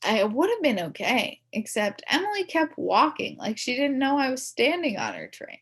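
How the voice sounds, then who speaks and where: A woman reading a children's story aloud in the first person as a kid, expressively, with dramatic emphasis and drawn-out words. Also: sits high, wildly swooping pitch, breathy edge. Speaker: storybook reader.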